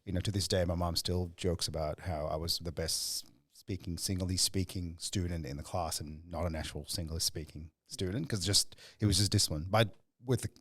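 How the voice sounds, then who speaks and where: A man's voice: low-pitched, a little fast, hardly any pauses, a bit bright, no room sound, clean studio sound. A man from Sri Lanka hosting a podcast interview, asking long, musing questions and reflecting on his own experience. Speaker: podcast host.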